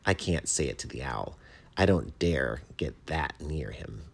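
A man's voice: rumbling low, lively intonation, unhurried, vocal fry, breathy edge, exaggerated voice. A man voicing a shy, earnest little bat who writes poems, tentative and naive, in a storybook reading. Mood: eager. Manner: tentative, naive, easily bewildered.

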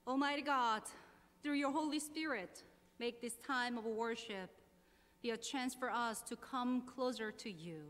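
A woman pastor in her thirties from South Korea, speaking English with a Korean accent, leading prayer, reading scripture and preaching in a measured, earnest way. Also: medium pitch, a bit bright. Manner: measured, earnest, slow deliberate phrasing. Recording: long hall reverb, faint hum.

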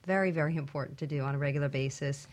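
A woman's voice: sits low, very fast, darkish tone. A woman sharing wellness advice casually on a talk show, in an earnest, emphatic way. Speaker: wellness panelist.

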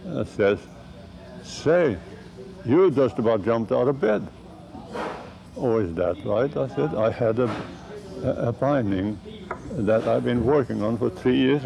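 Old guy in his 90s, halting, gravelly, old tv interview with crowd noise. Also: medium pitch, poor audio. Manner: halting, repeats words while telling a story. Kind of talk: archival TV interview.

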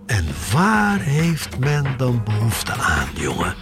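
An actor voicing a nostalgic father and dealer who speaks in a deliberate, rhetorical, drawn-out way. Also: mid-range voice, wildly swooping pitch, over loud music, heavily acted. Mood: lecturing. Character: collector's nostalgic, lecturing father, a shady dealer.

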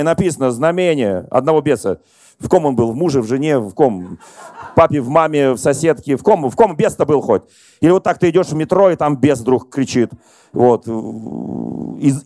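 A middle-aged man preaching a sermon as pastor, telling stories and questioning the congregation in a lively conversational way. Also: medium pitch, no echo, studio quality. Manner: conversational, animated storytelling to congregation.

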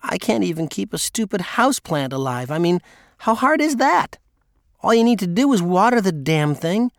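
Audiobook role: desperate young wife, self-blaming, raised pitch, played by a man, dry sound. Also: very animated, normal speed, vocal fry, no echo, heavily acted. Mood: exasperated, self-reproachful. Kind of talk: audiobook character dialogue.

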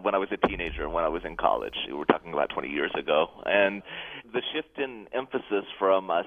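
Interview guest, a man in his thirties, articulate and measured, low voice, tinny phone audio. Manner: measured, articulate, occasional soft laughs. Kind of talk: thoughtful interview answers.

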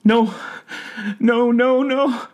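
High-pitched, sobbing old derelict. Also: male voice, steady tone, brisk, darkish tone. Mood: desperate. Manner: stammering, blubbering, rustic folksy speech. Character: frantic, sobbing, gaunt old derelict man.

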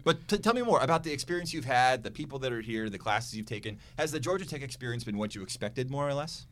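Fast, lively male podcast host. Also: medium pitch. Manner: playful, teasing, quick interjections. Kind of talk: casual interview chat.

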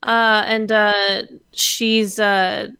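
A woman's voice: medium pitch, flat delivery, extremely slow, sharp tone, smooth, dry recording. A woman on a comedy podcast recapping a story with frequent ums and uhs. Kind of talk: casual comedy podcast chat.

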